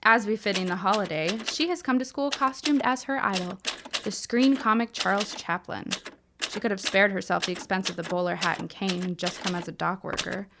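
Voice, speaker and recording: A woman's voice: mid-range voice, a little fast, creaky, noisy background, lightly acted. Woman playing a defiant, sardonic schoolgirl reporter. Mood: sardonic, weary. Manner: sardonic, wordy, literary turns of phrase. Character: defiant, sharp-witted high school girl reporter, 1916. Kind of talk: period audio drama acting and narration.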